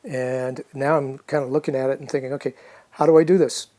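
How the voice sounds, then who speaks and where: A man casually narrating a hands-on restoration project, thinking aloud in an informal, unhurried way. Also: medium pitch, fairly even intonation, dark tone.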